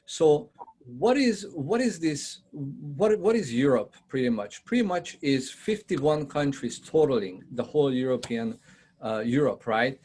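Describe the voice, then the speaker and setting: A man from Hungary with a Hungarian accent, giving a conversational presentation on a video call, often pausing with fillers and asking his audience questions. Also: mid-range voice, lively intonation, a little mellow, breathy edge. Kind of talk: online presentation to realtors.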